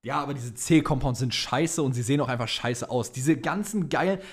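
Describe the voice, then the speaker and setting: A young man talks as a podcast co-host in a loose, colloquial way. His voice sits at a middling pitch and he sounds like an enthusiastic fan, full of slang. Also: fairly quick, breathy edge. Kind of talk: casual fan chat about motorsport.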